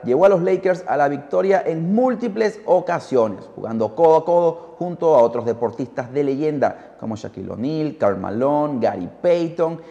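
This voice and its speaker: A male podcast host tells a scripted story in a dark, mellow voice of medium pitch. His delivery is dramatic and speaks straight to the listener. A long reverb hangs on the recording. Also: dull audio. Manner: dramatic, addresses the listener with rhetorical questions.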